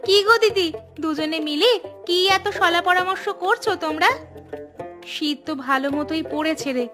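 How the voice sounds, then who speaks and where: Woman doing a caring village mom in a cartoon, high pitched, affectionate n expressive, music behind her. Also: moderate pace. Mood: chatty. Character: kind, caring village mother and farmer's wife. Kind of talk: cartoon voice acting.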